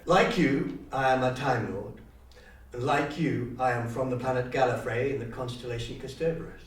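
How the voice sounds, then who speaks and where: A man's voice: mid-range voice, moderate pace. Echoey, distant man at an audition. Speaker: auditioning amateur actor. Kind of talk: audition monologue and interview chat. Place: university room, audition being filmed. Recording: echoey room, distant mic, faint noise.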